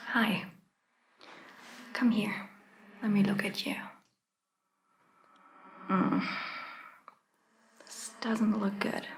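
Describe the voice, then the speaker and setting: A woman playing a calm, caring vampire doctor in a soft ASMR roleplay, whispering gently and reassuringly with long pauses. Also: medium pitch. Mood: gentle concern.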